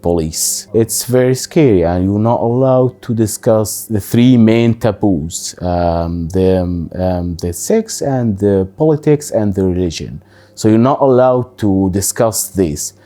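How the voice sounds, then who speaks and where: Man, 30s, interviewee; low mellow voice, halting and thoughtful. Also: animated. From Syria. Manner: halting, thoughtful, many pauses. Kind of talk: personal interview, life story.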